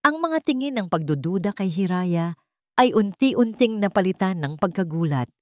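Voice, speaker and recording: A woman narrating a scripted dramatic story in measured, suspenseful, deliberate phrases. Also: mid-range voice, lively intonation, darkish tone, no room sound, studio quality.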